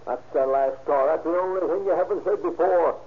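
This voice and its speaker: An actor playing a bitter, paranoid, volatile husband in a querulous, accusing manner, in the style of 1930s radio drama. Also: male voice, on the high side, a little fast, natural voice. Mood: enraged, menacing. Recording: muffled, noisy old radio recording.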